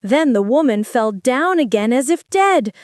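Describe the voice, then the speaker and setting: A woman reading a fairy tale aloud to children in a slow, expressive bedtime voice. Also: high-pitched, no echo, clean studio sound. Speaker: storyteller. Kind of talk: storybook read-aloud.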